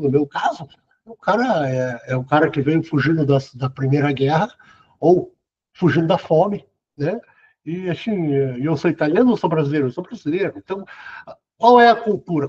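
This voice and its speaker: A middle-aged man lectures in a loose, chatty way, peppering his points with casual tags. His voice is husky and sits a bit high. The video call audio sounds dull and lo-fi. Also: darkish tone. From southern Brazil. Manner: conversational, sprinkles "cara" and "né". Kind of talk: casual lecture over web conference.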